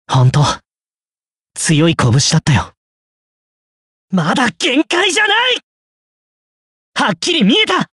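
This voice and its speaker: Eager, bright, very high boy warrior. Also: no room sound, studio quality, full character voice. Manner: energetic, straightforward shouts. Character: youthful, eager lion warrior boy, spirited and determined. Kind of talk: anime battle game voice lines.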